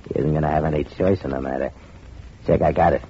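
A man's voice: extremely low pitch, fairly even intonation, fast, slightly rough. An actor playing a scheming, contemptuous male gang boss who bullies his henchman, in an old radio drama. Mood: scornful. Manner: contemptuous, bullying. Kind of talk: 1940s radio acting. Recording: dull lo-fi old radio recording.

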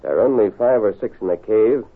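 A man playing a stern, commanding masked lawman in an old-time radio western, speaking with firm, deliberate authority. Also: fairly low pitch, husky. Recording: tinny, hissy old radio broadcast.

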